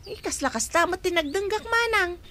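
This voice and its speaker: A woman's voice: extremely high pitch, lively intonation, normal speed, bright, forceful tone, slight background noise, exaggerated voice. An actress playing a gossiping village woman in a radio drama, confiding what she heard and saw.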